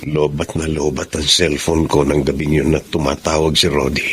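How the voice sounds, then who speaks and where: A man's voice: very deep voice, level tone, normal speed, fairly bright tone, slight background noise. An actor playing a humble, emotional and grateful poor father in an earnest Tagalog radio drama style. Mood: solemn, devout.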